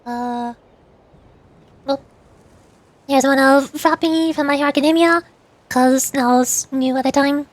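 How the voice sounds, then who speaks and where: A woman's voice: high-pitched, steady tone, measured pace, long pauses, dry recording. A young woman streamer chatting casually with her viewers, rambling as she shows old screenshots. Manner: chatty, rambling, reads chat aloud.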